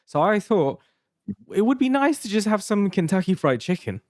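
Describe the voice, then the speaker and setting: A man's voice: high voice, sing-song, moderate pace, no echo, studio quality. Taiwanese-accented man telling a rambling story. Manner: rambling, many restarts and fillers, laughing while telling. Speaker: podcast co-host. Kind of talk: casual storytelling.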